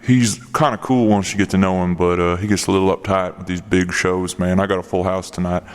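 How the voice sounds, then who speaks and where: A man's voice: deep voice, little pitch movement, brisk, clear, resonant tone, big-room sound, lightly acted. A man voicing a laid-back young male comedian who drawls and says "man" a lot.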